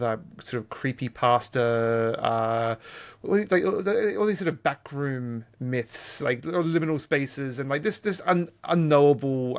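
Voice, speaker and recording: A man co-hosting a podcast, talking casually about games in a wordy, stop-start way with repeated words. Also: medium pitch. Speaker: podcast co-host. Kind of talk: casual game discussion.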